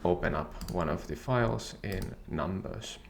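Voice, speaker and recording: A man whose first language is Finnish, calmly and methodically walking through a software tutorial. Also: low voice, a little slow, vocal fry, a little hiss or hum. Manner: calm, methodical explaining. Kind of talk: screen-share software tutorial.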